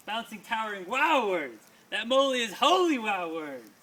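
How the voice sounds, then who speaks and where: A man's voice: high-pitched, big pitch swings, moderate pace, sharp tone. A man performing his own poems at a live reading, with an emphatic, rhythmic delivery and casual asides. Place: small live reading before an audience. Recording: distant mic, noisy live room.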